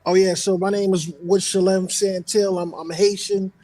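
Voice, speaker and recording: A man's voice: sits high, flat delivery, normal speed, dark tone. A man from New York, a rapper, talking easily about his background on a music show with lots of "um"s. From New York, USA. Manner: easygoing, thankful, filler-heavy. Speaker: rapper guest. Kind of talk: casual interview.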